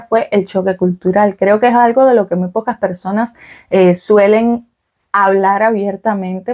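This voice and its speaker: A woman's voice: mid-range voice, darkish tone. A young adult woman from Cuba with a Cuban Spanish accent, talking casually to camera in a vlog, explaining with fillers and "ok?" check-ins. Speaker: vlogger.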